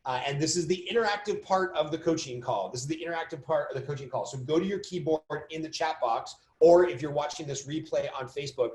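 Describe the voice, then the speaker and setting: A man's voice: on the high side, quick pace, hardly any pauses, darkish tone. A man leading an informal motivational coaching call for an online audience, conversational and emphatic, repeating key phrases for stress. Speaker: business coach hosting a mortgage coaching call. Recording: roomy, echoing sound.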